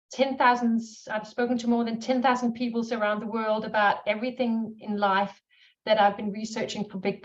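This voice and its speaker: Danish-accented woman consultant, fairly high voice. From Denmark. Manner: confident, fluent business talk. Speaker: strategy consultant, panelist. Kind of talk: professional self-introduction. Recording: dull lo-fi audio.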